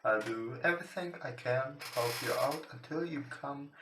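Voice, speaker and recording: A man who is not a native English speaker, casually commentating on a game and reading its text aloud in hesitant, halting English. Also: medium pitch. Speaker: let's play host. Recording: roomy, distant mic with music behind. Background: soft guitar game music.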